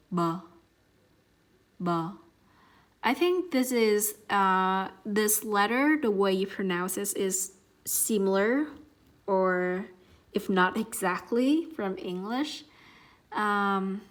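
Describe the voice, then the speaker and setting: A young woman with a Southern Vietnamese accent teaches pronunciation at an unhurried, very slow pace. She repeats every sound several times, gentle and careful, in a middle-pitched voice. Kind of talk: slow, patient pronunciation lesson.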